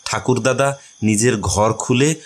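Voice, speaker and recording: A man's voice: medium pitch, unhurried. A man narrating a short story as a scripted audio reading.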